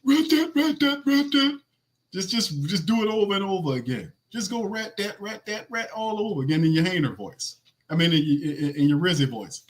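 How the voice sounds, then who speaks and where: Raspy-voiced man talking football. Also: on the high side, sing-song, brisk. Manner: loose, slangy, restarts a lot, reads stats. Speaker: podcast host. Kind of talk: casual sports podcast talk.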